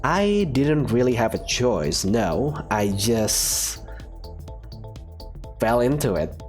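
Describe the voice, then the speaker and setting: A man's voice: mid-range voice, very animated, takes it slowly, faint music behind. A man narrating a fan visual novel as its student hero, hesitant and thoughtful. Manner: hesitant, thoughtful, soft-spoken. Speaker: narrator and player character.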